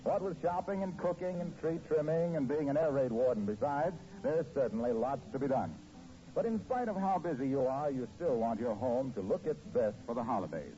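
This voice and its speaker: American guy doing old time radio sponsor spots, polished and salesy, animated, muffled recording. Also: medium pitch, a little fast, pauses often. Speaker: radio announcer. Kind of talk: 1940s radio scripted ad announcing.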